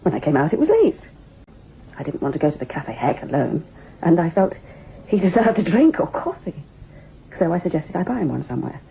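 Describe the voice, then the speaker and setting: A woman's voice: lowish voice, very animated, fairly quick, vocal fry, dark tone, slight background noise, poor audio. A defiant young woman, swooping expressively. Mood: indignant. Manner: emotional, swings between coy and indignant. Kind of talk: audio drama acting.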